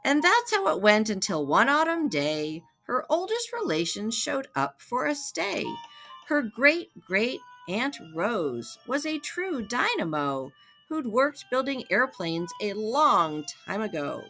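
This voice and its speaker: A woman reads a children's picture book aloud. Her voice is fairly high and very lively in pitch, with an expressive, rhythmic delivery. She takes it slowly, clearly shaping it for young listeners. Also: soft background music. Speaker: librarian reading aloud.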